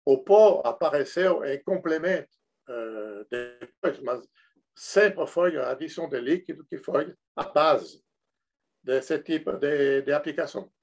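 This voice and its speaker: Slow, gravelly man with a northern French accent. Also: on the high side, a little mellow. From northern France. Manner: halting, many fillers and restarts. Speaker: industrial projects consultant. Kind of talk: technical lecture.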